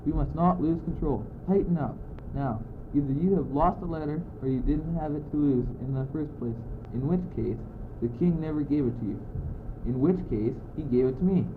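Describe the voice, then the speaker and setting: A man's voice: a little high, moderate pace, background noise, natural voice. A teenage boy playing an argumentative, muddled but bossy courtier in an amateur school radio play, declaiming emphatically on an old, tinny recording. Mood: brisk, reasoning. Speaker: student actor.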